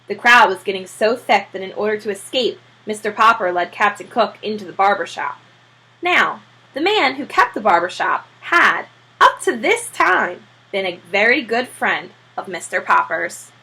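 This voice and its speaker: A woman reading a children's story aloud in an expressive, animated teacher's manner. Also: mid-range voice, normal speed, husky, rough recording. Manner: expressive, acts out character voices.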